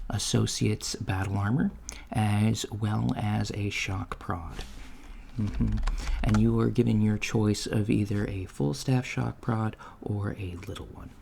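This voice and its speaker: A man playing an awkward, apologetic novice alien job interviewer in a soft, hesitant ASMR roleplay. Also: lowish voice, vocal fry. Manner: hesitant, halting, mumbling asides. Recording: lo-fi, steady noise, keyboard typing. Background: computer keyboard typing.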